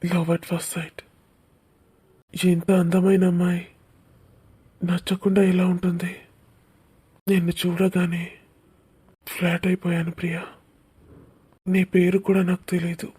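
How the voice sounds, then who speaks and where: A woman voicing a caring, lovestruck young man in a cartoon romance, speaking in a soft, reassuring and earnest way. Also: fairly low pitch, monotone, a little slow, pauses often, breathy edge. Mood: tender, lovestruck.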